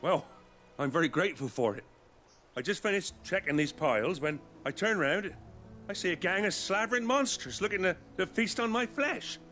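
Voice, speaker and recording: An actor playing a humble, chatty working-class carpenter with a British accent in a fantasy video game, folksy and a little flustered. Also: male voice, sits high, animated, normal speed, pushed and bright, soft background music. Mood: grateful, shaken.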